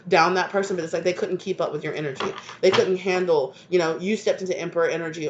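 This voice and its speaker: A woman's voice: low-pitched, lo-fi sound, slight background noise. A woman with a stuffed-up voice from a cold, giving a channeled tarot reading to her viewers with affectionate asides and repeated "right?" tags. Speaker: tarot reader.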